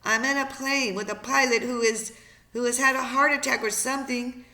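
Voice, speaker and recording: A man's voice: very high voice, fairly even intonation, moderate pace, pushed and bright, voice pushed up, full character voice. A man voicing a panicked, desperate boy in a dramatized audiobook, pleading and stammering over his words.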